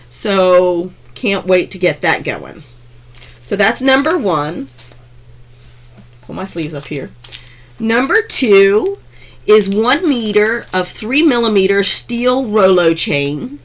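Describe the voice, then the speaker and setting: A woman chatting through a craft unboxing in her home craft room, gushing over each piece with frequent ums and restarts, her voice a little hoarse from being under the weather. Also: lowish voice. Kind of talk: casual unboxing narration.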